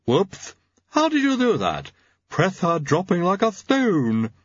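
Man acting a gruff manservant, growling, blunt, grumbling, husky, storybook character. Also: sits high, wildly swooping pitch, slow, no echo, lo-fi sound, voice pushed up, exaggerated voice. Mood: impressed. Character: gruff grumbling hulking servant, loyal, from a far country.

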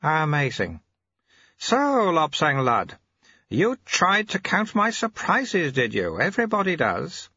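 A man voicing a cheerful, amiable little old male monk who sweeps, talking in a gently teasing, unhurried way. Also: a little high, wildly swooping pitch, husky, muffled recording, voice pushed up, exaggerated voice. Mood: amused.

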